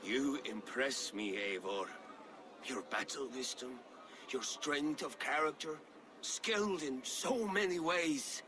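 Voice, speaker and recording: A man playing a bumbling, flirtatious Viking in a comic game cutscene, with a clumsily smooth manner. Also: mid-range voice, slow pace, sharp tone, slight background noise.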